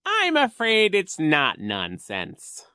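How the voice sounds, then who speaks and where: A woman voicing a wicked, smug male gym coach in a storybook, with a sly, drawn-out theatrical delivery. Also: fairly low pitch, huge pitch swings, sharp tone, no room sound, clean studio sound, voice pushed up, exaggerated voice.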